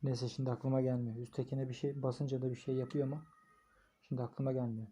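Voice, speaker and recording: A man's voice: medium pitch, little pitch movement, fast, mellow, slightly rough. Casual man talking through a gadget review. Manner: informal, thinking aloud. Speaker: product reviewer. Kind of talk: casual hands-on unboxing and installation commentary. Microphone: phone recording.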